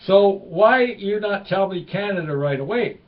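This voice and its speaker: A man playing a bewildered Norwegian tourist, clumsy English, slightly high voice. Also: lively intonation, normal speed, lo-fi sound. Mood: puzzled. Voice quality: broken English.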